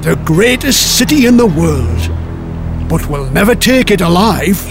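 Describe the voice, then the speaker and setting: A bold, scheming Viking chief from a storybook, acted out by a male narrator. He is hearty and cruel, with a high voice that leaps about theatrically. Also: sing-song, unhurried, over music, heavily acted. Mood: awed.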